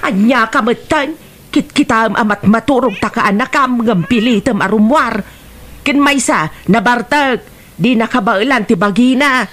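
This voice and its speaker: A woman's voice: a little high, normal speed, a bit bright. A woman playing a worried, nagging mother of a wayward son in a radio drama, with an Ilocano accent. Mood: angry, worried. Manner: nagging, reproachful.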